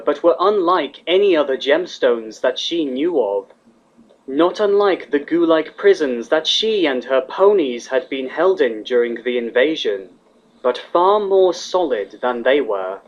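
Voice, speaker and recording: A man reading a scripted story as a narrator, in a lo-fi recording. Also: mid-range voice. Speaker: fan fiction reader. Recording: dull lo-fi playback.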